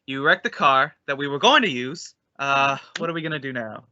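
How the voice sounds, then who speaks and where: A man's voice: medium pitch, animated, brisk, pushed and bright, no room sound, highs cut off. A man playing the bossy straight man of an old-time radio comedy duo, explaining and scolding in exasperation. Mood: exasperated.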